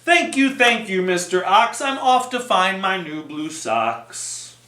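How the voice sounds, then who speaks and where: A storyteller doing an earnest little storybook duck, fretful, slow singsong rhymes, bright, echoey room. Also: medium pitch, sing-song, heavily acted. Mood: polite, hopeful. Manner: slow, singsong rhyming speech. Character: earnest, fretful duck searching for lost socks. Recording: roomy, echoing sound.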